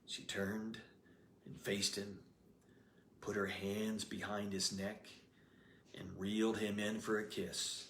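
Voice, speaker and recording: A man reading his own novel aloud as storybook narrator, expressive and lively. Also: fairly low pitch, takes it slowly, pauses often, a little hiss or hum. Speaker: author reading his book. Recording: roomy echoey room.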